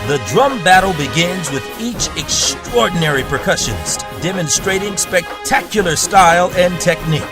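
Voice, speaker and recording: Lively high male promo announcer. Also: moderate pace, few pauses. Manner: showy, announcer-like. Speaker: promo narrator. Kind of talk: scripted promo voice-over. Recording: voice-over over loud music.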